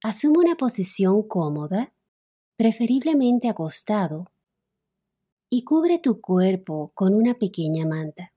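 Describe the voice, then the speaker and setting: A woman leading a guided relaxation as a meditation instructor, soothing and unhurried, with long pauses between phrases. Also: medium pitch, very animated, warm tone, breathy edge. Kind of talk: guided relaxation, yoga nidra narration.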